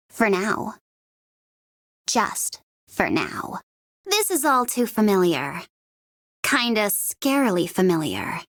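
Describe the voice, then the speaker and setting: A woman's voice: mid-range voice, a bit bright, no room sound, studio quality. A woman playing a sardonic young female mercenary in a video game, mocking and teasing with an undercurrent of menace. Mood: softly menacing, then wry, needling. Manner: mocking, teasing, drawling with sudden swoops and veiled threats. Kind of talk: English-language game character voice lines.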